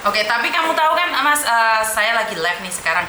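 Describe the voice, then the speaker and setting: A woman hosting a live-streamed call-in chat, animated and encouraging, slipping into Javanese terms of address. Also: on the high side, brisk, echoey room, background noise. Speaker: host of a livestream.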